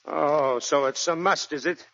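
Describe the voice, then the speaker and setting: A defiant construction boss in a vintage radio play. The voice is sharp and a bit high, blunt and hot-tempered. The recording sounds dull and boxed. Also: male voice, measured pace, creaky. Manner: blunt, combative. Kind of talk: 1940s radio drama acting. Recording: muffled 1940s radio recording.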